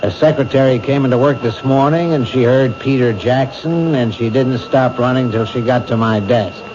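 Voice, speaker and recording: An actor playing a brisk, commanding male police captain in a 1970s radio drama. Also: medium pitch, natural voice. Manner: brisk, orders given crisply. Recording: dull old radio broadcast with hiss.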